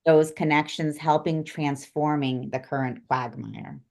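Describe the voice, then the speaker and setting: A woman's voice: deep voice, fairly even intonation, a little slow, gravelly, darkish tone. A woman moderating a spiritual panel, who affirms the speakers and reads out audience questions.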